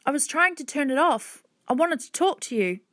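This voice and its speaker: An earnest young girl from a storybook, played by a woman narrator, speaks in a high, urgent voice. She is anxious and apologetic, yet keeps pleading her case. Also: animated, normal speed, fairly bright tone, no room sound, clean studio sound.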